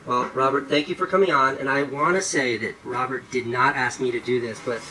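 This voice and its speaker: A man conducts an interview and asks quick, earnest, probing questions in a bright voice. He is recorded from a distance, and faint room ambience hangs behind him. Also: mid-range voice, steady tone, slight background noise.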